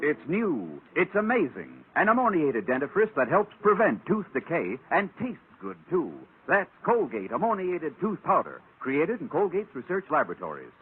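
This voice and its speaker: A man's voice: fairly high pitch, wildly swooping pitch, normal speed, few pauses. A male radio announcer delivering mid-century ads and show credits with polished, emphatic salesmanship. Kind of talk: 1940s-50s radio advertising and show announcing. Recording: tinny old radio recording. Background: music.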